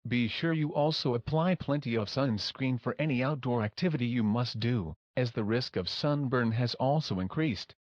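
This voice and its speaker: A man acting as an emergency weather announcer. His voice is mid-pitched and matter-of-fact, reading a scripted alert in dry, slightly muffled lo-fi audio. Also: moderate pace, few pauses, dry recording, lightly acted. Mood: measured, serious.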